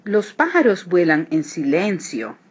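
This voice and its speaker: A woman reading a children's picture book aloud, switching between hushed and emphatic delivery. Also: on the low side, big pitch swings, measured pace. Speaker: read-aloud narrator. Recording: dull lo-fi recording, clear background noise.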